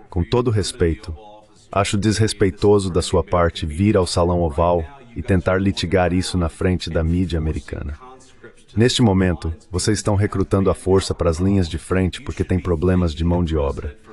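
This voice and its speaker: A man voices a translation of a political debate. His voice is clearly deep, well below the usual male pitch. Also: a little mellow. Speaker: voice-over translator.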